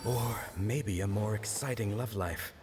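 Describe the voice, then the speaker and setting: A man's voice: lowish voice, unhurried, close to an everyday voice. A man voicing a townsman who daydreams about fame, musing wistfully in game audio with music behind.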